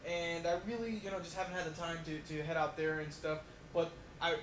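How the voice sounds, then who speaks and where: A man's voice: fairly high pitch, brisk. A man hosting a mock talk show interview, rambling and leaning on fillers like "you know". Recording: echoey room with loud noise.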